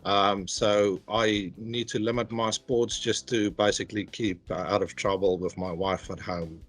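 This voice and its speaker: A man's voice: on the low side, fairly even intonation, unhurried, a bit bright. A man working as a cybersecurity principal, talking casually in a workplace interview, hesitant with many fillers. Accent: non-Australian.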